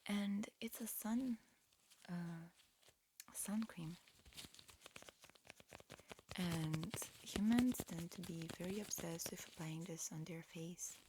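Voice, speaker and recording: A woman performing a whispered ASMR roleplay, mixing breathy whispers with soft speech in a halting, matter-of-fact, instructional manner. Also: lowish voice, noisy background. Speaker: ASMR performer playing an alien examiner.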